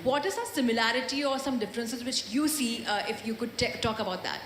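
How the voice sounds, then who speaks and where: A woman reporter puts a brisk, friendly question to the stage. Her voice rings through a large hall with a long, roomy reverb, and a faint murmur of the room sits underneath. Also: on the high side, fairly bright tone, slight background noise. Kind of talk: press conference question. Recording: echoey hall from a distance.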